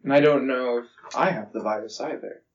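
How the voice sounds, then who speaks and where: A man's voice: medium pitch, normal speed, muffled recording. A man of twenty, the host's son, giving brief, plain answers in an interview. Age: 20s.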